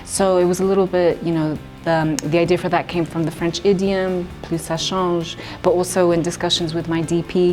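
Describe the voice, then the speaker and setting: A young woman talks about her film in a relaxed interview, thoughtful and measured, pausing on fillers as she goes. Her voice sits on the low side, and faint music plays behind her. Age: young adult. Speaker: writer and director. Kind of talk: festival interview, conversational.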